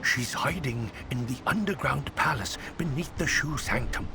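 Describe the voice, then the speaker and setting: An actor playing a weary, wise old man in a video game, speaking in a deliberate, folksy way over music. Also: mid-range voice, animated, gravelly. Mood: grave, urgent. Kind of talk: dramatic video game voice acting. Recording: background music under the voice.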